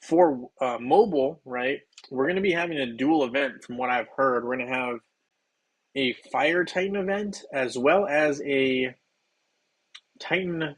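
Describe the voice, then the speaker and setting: A slightly hoarse man hosting a game livestream, chatting loosely with viewers while he plays, with plenty of ums and restarts. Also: medium pitch, measured pace, low-quality recording. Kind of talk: casual livestream chat while playing.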